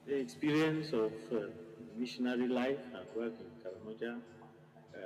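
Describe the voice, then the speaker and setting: A man from Cameroon, a missionary priest, answering interview questions in a measured, thoughtful way with pauses. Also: medium pitch, lively intonation, a little mellow, reverberant, rough recording.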